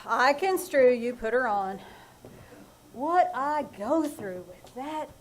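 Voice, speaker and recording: A deadpan, long-suffering businessman husband from a 1940s radio comedy, as a woman plays him on a live stage. The voice sits high and delivers every sarcastic wisecrack flatly and dryly. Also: slow, a little hiss or hum. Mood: wry. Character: dry, put-upon middle-aged businessman husband. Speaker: lead in a radio play.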